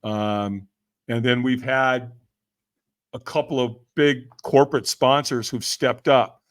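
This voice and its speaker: A man's voice: mid-range voice, steady tone, slow pace. Older man, emphatic slangy storyteller. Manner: emphatic, slangy, acts out remembered dialogue. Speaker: podcast guest, firewalking event leader. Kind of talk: energetic storytelling in casual interview.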